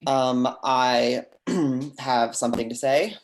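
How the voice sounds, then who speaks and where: A man playing a timid, earnest government employee with a halting manner in a script table read. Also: medium pitch, a little hiss or hum, natural voice. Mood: nervous, earnest.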